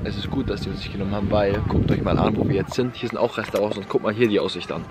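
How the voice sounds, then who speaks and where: A man's voice: fairly low pitch, steady tone, very fast. A young man chatting casually in a travel vlog, in slangy running commentary, filmed with a handheld camera outdoors and in a car. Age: young adult. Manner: loose slangy chatter, narrating on the move. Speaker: vlogger. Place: outdoors and in a car on a road trip. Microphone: handheld camera at arm's length. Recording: loud wind and traffic noise outdoors.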